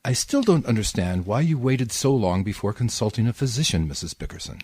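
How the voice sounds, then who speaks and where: A man's voice: mid-range voice, expressive, fairly quick, darkish tone, husky, dry recording, studio quality. A man playing a formal, pompously clinical physician in a 1940s-style radio comedy. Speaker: community theatre actor.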